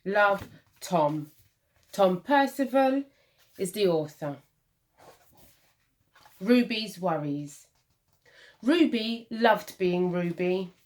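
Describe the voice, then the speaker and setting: Slow, animated woman reading a children's storybook. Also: mid-range voice. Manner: deliberate, dramatic pauses and emphasis for young listeners. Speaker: primary school teacher reading a picture book.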